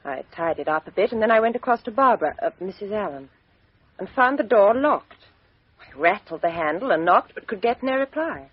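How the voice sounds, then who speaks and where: Composed young female witness, husky, dry lo-fi 1950s radio. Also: mid-range voice, expressive, a little fast, dry recording. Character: composed young Englishwoman, victim's flatmate. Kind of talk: 1950s radio drama acting. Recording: dry, dull lo-fi old radio recording.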